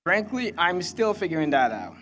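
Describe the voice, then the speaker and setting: Young Congolese-accented man, higher-pitched, speechmaking. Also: big pitch swings, moderate pace, a bit bright, slight background noise. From Kinshasa, Democratic Republic of the Congo. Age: young adult. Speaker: student commencement speaker. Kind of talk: prepared graduation speech.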